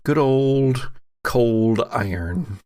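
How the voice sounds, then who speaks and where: A man's voice: mid-range voice, lively intonation, very slow, a little mellow. A man narrating a tabletop horror game as game master, dramatic in his scene-setting with wry asides.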